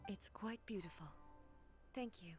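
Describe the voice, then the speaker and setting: A woman's voice: mid-range voice, natural voice. An actress playing a composed, solemn female deity in a video game, speaking formally and reflectively over music. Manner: formal, measured, philosophical. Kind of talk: English video game voice acting. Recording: game audio with background music.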